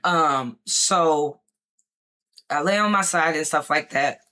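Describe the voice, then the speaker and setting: A woman talking casually about her life, rambling with many fillers and restarts, her voice going hoarse at times. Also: low-pitched, takes it slowly, bright, forceful tone. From Chicago area, United States. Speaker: vlogger sharing her experience. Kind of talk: casual personal talk to camera.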